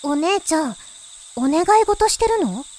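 A woman's voice: very high voice, very animated, measured pace, dark tone, slight background noise, heavily acted. An actress voicing a skeptical young girl, the little sister, who doubts and questions, in a voice drama. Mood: curious. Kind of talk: voice drama acting. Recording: faint insect and cricket ambience.

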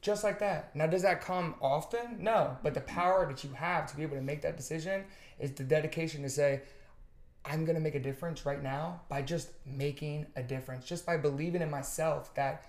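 Podcast host, man, fairly high voice, earnest and rambling, roomy echo. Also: fairly quick, a little mellow. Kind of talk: casual conversation.

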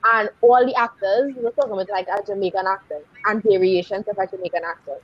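A woman from Trinidad with a Trinidadian accent, telling stories in a lively, exclamatory way in casual podcast chat. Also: medium pitch, a little fast. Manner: animated, exclamatory storytelling. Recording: dull lo-fi sound.

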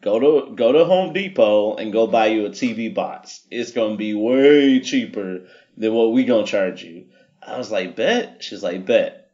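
A man telling a story on a podcast at an unhurried pace, wry and self-mocking. He laughs through his own lines. The sound is a touch muffled. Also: fairly low pitch, dark tone. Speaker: podcast guest. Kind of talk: casual storytelling.

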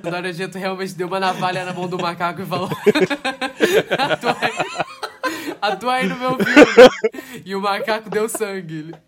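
A man's voice: high-pitched, a little slow, a crowd in the background. A Brazilian man co-hosting a podcast, talking casually about films, laughing often and trailing off mid-sentence. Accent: Brazilian Portuguese. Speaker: podcast co-host. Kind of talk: casual film chat.

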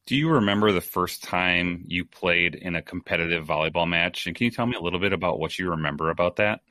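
A man hosting a podcast, reading a scripted intro and then asking long, measured interview questions. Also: deep voice, pushed and bright, no room sound, studio quality. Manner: measured, asks long multi-part questions. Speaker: podcast host.

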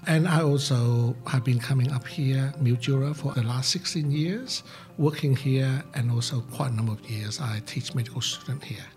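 An older male psychiatrist, answering interview questions slowly with many fillers. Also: mid-range voice, darkish tone, soft background music. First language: Vietnamese. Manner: halting, many fillers, laughs at himself. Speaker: psychiatrist and senior lecturer.

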